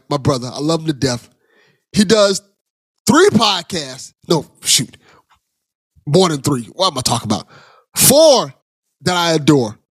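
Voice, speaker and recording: A man's voice: on the high side, fairly bright tone, husky, no echo. A man hosting a podcast, chatting casually with affectionate banter. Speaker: podcast host. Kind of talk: casual interview chat.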